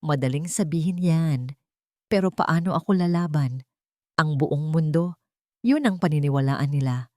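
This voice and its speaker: A narrator plays a distressed young woman in a Filipino audio romance, a wounded older sister. Her voice is on the low side and recorded very dry. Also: moderate pace, pauses often, darkish tone, no room sound, natural voice. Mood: despairing.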